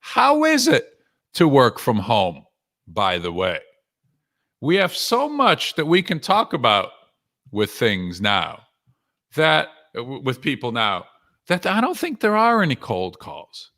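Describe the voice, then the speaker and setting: A man's voice: mid-range voice, huge pitch swings, slow pace, bright, forceful tone. A man working as a sales coach, giving a conversational live talk to viewers in punchy short phrases with frequent rhetorical questions. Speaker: sales coach and author hosting a live webinar.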